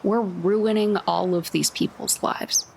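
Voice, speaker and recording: A woman's voice: mid-range voice, steady tone, measured pace, gravelly, darkish tone, a little hiss or hum. A woman talking casually as a player in a tabletop roleplaying game.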